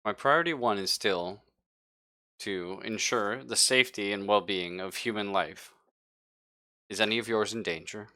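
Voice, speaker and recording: Man acting out tabletop sci-fi android, low bright voice, formal, detached, evasive, dutiful. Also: normal speed. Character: Ava Six, dutiful evasive female android crew member.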